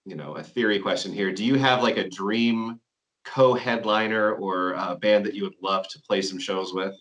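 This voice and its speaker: A man hosting a metal interview, asking questions in a halting way with fillers. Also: lowish voice, a bit bright.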